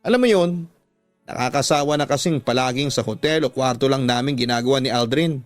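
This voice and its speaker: A man's voice: mid-range voice, fairly quick, no room sound, soft background music, natural voice. A man with a Filipino accent voicing a dissatisfied young woman who confides and complains to her friend in a radio drama. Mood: complaining.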